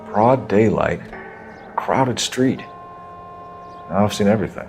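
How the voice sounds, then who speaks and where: Stern American cop, low register, clipped and formal, 1940s detective game, music underneath. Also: male voice, slow pace, a little mellow. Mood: terse, observant. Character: stern young male police officer turned investigator, forceful when questioning. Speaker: police officer character in a detective game. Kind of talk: dramatic video game acting, 1940s crime drama.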